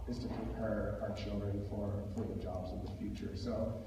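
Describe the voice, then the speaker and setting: A man answering a question at a public forum, haltingly searching for his words. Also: fairly low pitch, little pitch movement. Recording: very echoey hall, far mic, muffled, faint noise.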